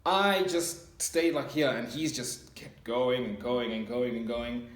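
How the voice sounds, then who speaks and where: A young man in his twenties speaks to camera in an earnest, rambling way, filling gaps with fillers and restarting his sentences. His voice sits in an ordinary middle pitch. The room around him gives a noticeable echo. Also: slow pace. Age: 20s. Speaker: self-improvement channel host. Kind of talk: casual motivational monologue.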